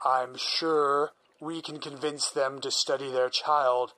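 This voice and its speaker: A man's voice: medium pitch, fairly even intonation, takes it slowly, bright, forceful tone. An actor playing a single-minded male scientist who explains his plans in an earnest, persuasive way. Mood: eager. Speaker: researching psychologist. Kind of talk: audio drama acting.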